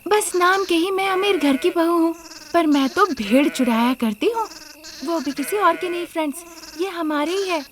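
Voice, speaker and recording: A woman's voice: very high voice, normal speed, a crowd in the background, voice pushed up. A woman voicing a spoiled, sly young rich daughter-in-law in a girlish, complaining way for a story.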